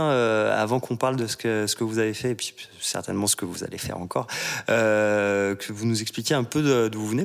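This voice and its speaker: A man hosting a podcast interview, his voice low-pitched and smooth. He hesitates constantly, filling gaps with drawn-out pauses as he works toward each question. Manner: hesitant, many fillers. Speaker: podcast host.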